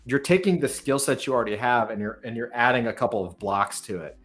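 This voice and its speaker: A man hosting a casual podcast conversation, thinking out loud with frequent restarts and "right?". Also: mid-range voice, fast talker.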